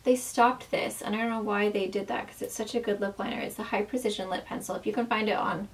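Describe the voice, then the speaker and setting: A woman's voice: medium pitch, fairly even intonation, fast talker, far from the mic, a little hiss or hum. A woman chatting through a makeup tutorial as a former makeup artist, talking in a casual way full of fillers, losing her voice so that it often cracks.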